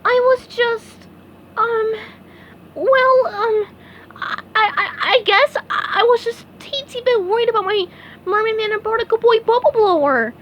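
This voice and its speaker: A woman voicing an eager, excitable male sea sponge in a very high, squeaky voice as she reads a storybook. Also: a little slow, lo-fi sound, a little hiss or hum. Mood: flustered. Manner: stammering and breathless.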